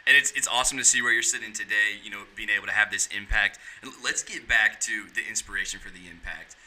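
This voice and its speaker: Male host, encouraging interviewer, rapid pace, very bright tone. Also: on the low side, low-quality recording. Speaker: podcast host.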